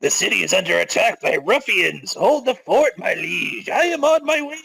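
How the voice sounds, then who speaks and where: An earnest old royal guard from a game story, acted by a man. He speaks formally and chivalrously, with a gravelly creak and lively pitch, in a dry close recording. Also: normal speed, no room sound, full character voice. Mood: dutiful.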